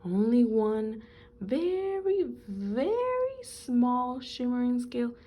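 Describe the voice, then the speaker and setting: A woman's voice: on the high side, very animated, takes it slowly, dark tone, breathy edge, faint music behind, voice pushed up, exaggerated voice. A woman voicing a proud, vain male fish from a children's storybook, with haughty, dramatic emphasis. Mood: reluctant.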